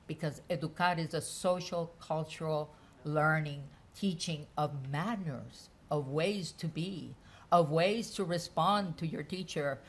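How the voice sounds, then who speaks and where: Brief: female lecturer with a lowish voice, measured and methodical, stepping through her points one by one. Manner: measured, enumerating points in lists. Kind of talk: academic lecture.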